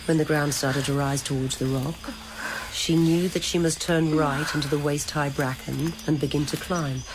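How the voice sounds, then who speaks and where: A woman narrating a literary story in a measured, deliberate, dramatic style. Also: low-pitched, fairly even intonation, noisy background.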